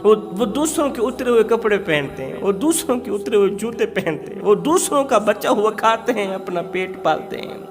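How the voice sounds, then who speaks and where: A man's voice: high voice, animated, moderate pace, hardly any pauses, music in the background. A man giving an emotional Islamic sermon as a storyteller, dramatic and emphatic, repeating phrases for effect.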